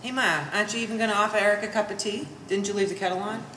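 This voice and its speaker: A woman's voice: mid-range voice, steady tone, racing, sharp tone, close to an everyday voice. A woman playing a bitter, sardonic Polish-American daughter of sixty with pompous vocabulary, in a staged play reading. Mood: sarcastic. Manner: dry, pompous big words. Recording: echoey room, faint background noise.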